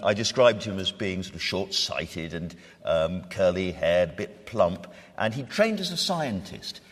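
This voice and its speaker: In a reverberant hall, a man with a very low, husky voice moderates a book panel. He steers the conversation and hands questions to his guests. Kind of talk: literary discussion, questioning guests. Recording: echoey hall with long reverb.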